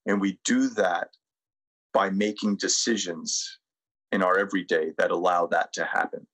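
A middle-aged American man speaking reflectively as a podcast guest, measured and deliberate, with pauses. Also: deep voice, fairly even intonation, fairly bright tone. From United States. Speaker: podcast guest, sustainability consultant. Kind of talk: reflective interview talk and guided presentation.

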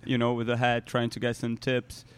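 A man from Brazil, co-hosting a podcast and telling a lively story in casual chat. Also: mid-range voice, normal speed, clean tone. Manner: animated storyteller, many "you know" fillers. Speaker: podcast co-host, soccer player.

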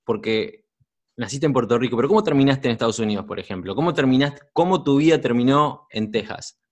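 A man hosts an interview. He asks long, winding questions, often breaking off and starting a phrase again. His voice has an ordinary male pitch. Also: animated, fairly quick. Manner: rambling, restarts questions, voseo. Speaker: host.

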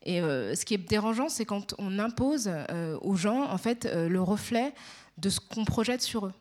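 Young Parisian woman, measured and articulate. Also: medium pitch, expressive, a little mellow. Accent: Parisian French. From Paris, France. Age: young adult. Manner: measured, articulate, frequent hesitations. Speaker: interviewee. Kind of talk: reflective interview answers.

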